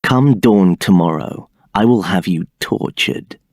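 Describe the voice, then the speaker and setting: An actor playing an arrogant, contemptuous templar captain in a video game, with a haughty, clipped, sneering delivery. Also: male voice, low voice, slow pace, a little mellow, dry recording, studio quality. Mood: interrogating, menacing. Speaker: game character voice.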